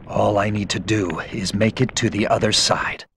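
An actor playing a stern, honorable ninja in a video game, speaking in a formal, solemn, measured way. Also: male voice, fairly low pitch, steady tone, fairly bright tone, a little hiss or hum. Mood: calm, formal. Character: stern, honorable male ninja avenger, calm and grim. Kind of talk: video game cutscene acting.

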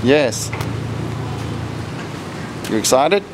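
A man's voice: mid-range voice, very animated, drawn-out words. A man in his forties giving casual travel vlog commentary in short descriptive remarks, recorded on a handheld camera. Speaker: food vlogger. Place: busy street and open-front eatery in Thailand. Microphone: handheld camera at arm's length. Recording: loud street and kitchen noise.

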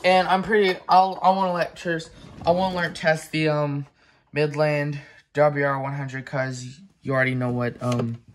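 Someone doing a casual halting show and tell at home on a phone, bit of noise and clicking. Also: mid-range voice, level tone. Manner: informal, halting, off-the-cuff. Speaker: collector presenting weather radios. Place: indoors in a home room. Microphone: handheld phone camera. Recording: noisy handheld recording with clicks.

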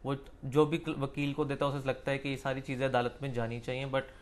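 A man's voice: medium pitch, little pitch movement, a little fast. A man in his thirties with a Pakistani accent, interviewing conversationally as a host and mixing in English. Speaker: host and lawyer. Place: small book-lined law office. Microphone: lapel mic.

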